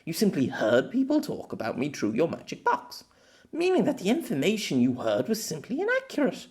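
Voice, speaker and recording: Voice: medium pitch, wildly swooping pitch, fairly quick, darkish tone, voice pushed up. A performer voicing a proud young warrior mage girl who speaks formally and insistently in an audiobook story. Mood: prideful.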